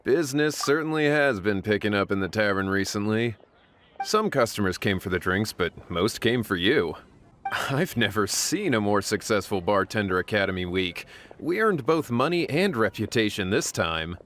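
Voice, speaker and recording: A man's voice: lowish voice, expressive, normal speed, fairly bright tone, a little hiss or hum. A man voicing an easygoing, appreciative tavern boss in a video game cutscene. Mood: pleased.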